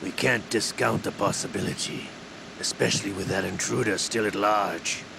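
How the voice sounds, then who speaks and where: An actor playing a composed older villainous intelligence officer in a video game, gravelly, measured and deliberate in speech. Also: male voice, fairly low pitch, fairly even intonation. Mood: measured, businesslike. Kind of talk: video game cutscene acting. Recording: noisy vehicle-like ambience behind.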